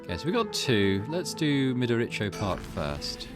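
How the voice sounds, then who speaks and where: A man's voice: mid-range voice, sing-song, moderate pace, music in the background. A man hosting a gaming channel, commenting on a visual novel as he reads it aloud. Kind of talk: let's play commentary, reading visual novel text aloud.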